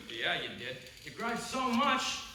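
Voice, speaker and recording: A man's voice: sits high, big pitch swings, full character voice. A man playing a teasing older brother. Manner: mocking, quick to anger. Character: older brother, teasing, short-tempered, remorseful. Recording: echoey room, distant mic, noisy.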